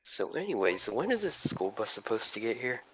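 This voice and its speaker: A man's voice: medium pitch, big pitch swings, normal speed, close to an everyday voice, rough recording. Man playing a casual teasing teenage brother, faint noise. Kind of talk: hobby audio table read.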